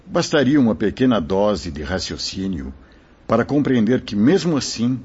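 A Brazilian man playing a kindly, solemn spirit mentor who exhorts in a measured, preacherly way in a radio drama. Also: on the low side, sing-song, darkish tone, breathy edge, heavily acted. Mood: earnest, admonishing. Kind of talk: Portuguese radio drama acting. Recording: dull lo-fi audio.

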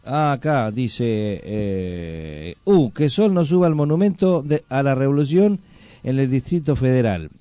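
A man with a Rioplatense Argentine accent jokes his way through casual radio banter. His voice is mellow and expressive, recorded close and dry. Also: medium pitch, unhurried, no echo. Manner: joking. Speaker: radio show co-host.

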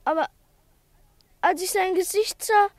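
A timid little schoolboy, acted by a woman in an old radio play, his voice piping and very high. He speaks slowly, plaintive and full of self-doubt. The recording is close and dry. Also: no echo. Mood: rueful. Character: timid small schoolboy, ashamed of his cowardice. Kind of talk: 1950s radio play acting.

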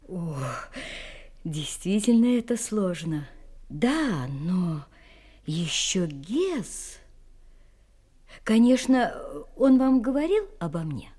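An actress playing a refined, self-possessed young gentlewoman, candid and faintly mysterious, in an audio drama, speaking elegantly with dramatic pauses. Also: medium pitch. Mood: cautious, curious. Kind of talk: Russian audio drama acting.

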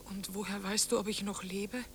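A woman's voice: medium pitch, fairly even intonation, normal speed, fairly bright tone, a little hiss or hum, natural voice. An actress in a German radio play voicing a ghostly wife who taunts and haunts her husband in an eerie, challenging manner. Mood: eerie, daring.

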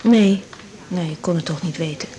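A woman's voice: fairly low pitch, moderate pace, dark tone, close to an everyday voice, low-quality recording. An actress playing a young woman doctor, a restrained and protective daughter, speaking hesitantly in a 1980s radio drama. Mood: subdued, shaken. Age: young adult. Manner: hesitant, subdued.

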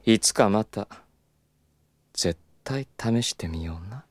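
A man playing a suave, stubborn, flirtatious club boss in an audio drama, with smooth polite speech that slips into teasing Kyoto dialect. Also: on the low side, lively intonation, slow, no room sound. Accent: Kyoto Japanese.

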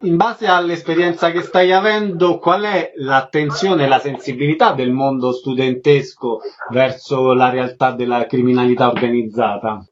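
A man's voice: medium pitch, steady tone, normal speed, few pauses. A man hosting a radio interview, putting prepared questions and introductions to guests. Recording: dull muffled sound, faint noise.

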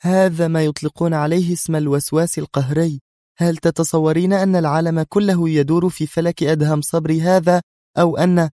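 A man voicing a nervy, scornful young woman intelligence agent in an audio drama, with a sharp, sarcastic manner. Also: high-pitched, a little fast, no echo, clean studio sound, voice pushed up, close to an everyday voice. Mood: irritated, sarcastic.

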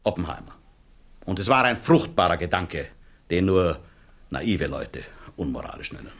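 Husky, low man a self-assured scientist. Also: lively intonation, normal speed. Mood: confident, dismissive. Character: self-assured, unsentimental male physicist witness defending discovery. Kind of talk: German radio play acting, hearing testimony.

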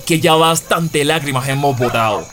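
A man's voice: on the high side, normal speed, a bit bright, slight background noise, lightly acted. An actor playing a cheerful, folksy Colombian family man out drinking, in a colloquial radio drama style. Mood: coaxing, lively. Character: cheerful poor husband and father out drinking, folksy.

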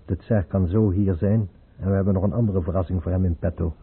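An actor playing a cautious, discreet court chamberlain in a Dutch radio play, formal and measured in his speech. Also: low voice, steady tone, breathy edge, exaggerated voice. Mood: guarded, confiding. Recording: muffled old radio play recording.

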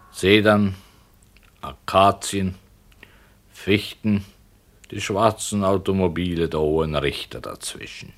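Slow, deep-voiced wry writer. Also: male voice, exaggerated voice. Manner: deliberate, wry, halting descriptive phrases. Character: ironic, detached writer slowly conjuring his story's scenery. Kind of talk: 1960s German radio play narration. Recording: noisy old radio recording, low rumble.

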